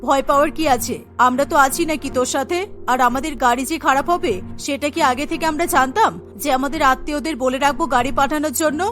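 A woman's voice: high-pitched, fast talker, hardly any pauses, fairly bright tone, soft background music. A woman playing a no-nonsense middle-aged mother in a cartoon. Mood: brisk, scolding.

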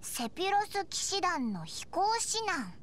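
A woman voicing a tiny, cheeky, curious fairy companion with a squeaky childlike voice in an anime game. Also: big pitch swings, measured pace, fairly bright tone, a little hiss or hum, full character voice. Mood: reluctant, musing. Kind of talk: Japanese anime game dialogue.

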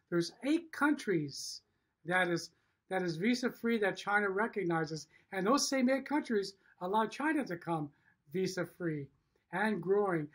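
High-pitched middle-aged man, emphatic. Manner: emphatic, short punchy sentences. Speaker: factory owner and show host. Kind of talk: promotional video message to camera. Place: indoor room with wood-block walls.

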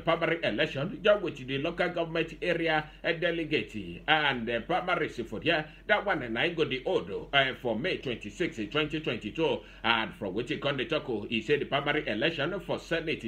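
A young man with a Nigerian accent presents news conversationally into a close studio mic, with a halting delivery full of tag sounds. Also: on the high side, bright, forceful tone. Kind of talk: conversational news reading in Pidgin. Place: small radio studio with acoustic panels. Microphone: close studio mic on boom arm.

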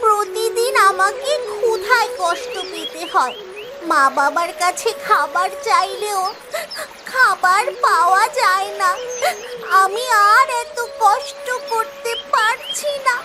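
Very high, whiny little girl. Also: slow, background noise. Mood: sulky, upset. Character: hungry, sulky little village girl. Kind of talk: Bengali cartoon voice acting. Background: music.

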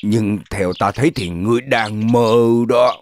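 A man's voice: fairly low pitch, unhurried, slight background noise. A man voicing a greedy, grumbling wolf in a children's cartoon, drawing out his words. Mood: grumbling, reluctant.

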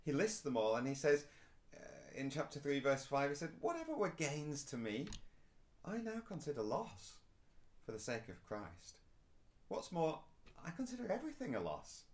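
A man's voice: fairly high pitch, big pitch swings, normal speed. A man, preaching a recorded sermon to camera in a small indoor room, earnest and emphatic. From England. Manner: earnest, rising and falling emphasis, gestures while speaking. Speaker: pastor.

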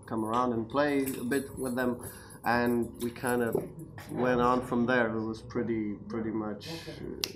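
A man from Slovenia, a guitarist, speaking softly and hesitantly in a group interview at a music venue. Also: mid-range voice, fairly even intonation, measured pace, crowd noise.

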